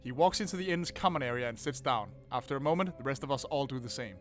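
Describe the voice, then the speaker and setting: A man streaming a visual novel, reading it aloud and reacting with animated, laughing, swearing commentary. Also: mid-range voice, quick pace, faint music behind. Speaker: game streamer.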